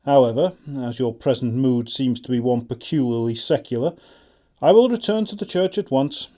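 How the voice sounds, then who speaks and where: A man's voice: medium pitch, normal speed, warm tone, natural voice. A man playing a learned, mild country clergyman in a stage comedy audiobook, with an English accent and a pedantic, formal manner. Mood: pedantic, grieved.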